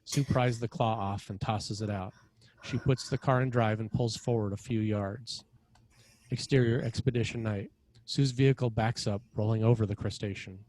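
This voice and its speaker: A man with a fairly low voice narrates a screenplay. He reads out scene headings and action as scripted narration for a table read. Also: fairly even intonation, normal speed, darkish tone.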